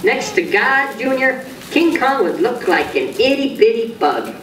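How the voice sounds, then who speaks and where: An actor playing a gentle, reassuring grown-up cartoon friend who explains things simply to a child in a musical story. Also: medium pitch, very animated, a little slow, background noise. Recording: roomy distant playback with music behind.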